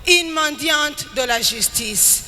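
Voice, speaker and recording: A woman's voice: high voice, animated, pushed and bright, soft background music. A woman reciting dramatic spoken-word poetry on stage, with an emphatic, declamatory delivery. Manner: slow, emphatic, declamatory delivery with rising and falling phrases. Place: large hall at a live event. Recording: echoey hall with background noise.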